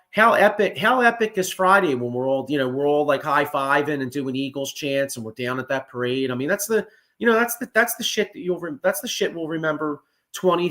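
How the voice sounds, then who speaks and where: A man, hosting a casual sports chat, excitable and profane with lots of restarts. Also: fairly high pitch. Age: 40s. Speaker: podcast host.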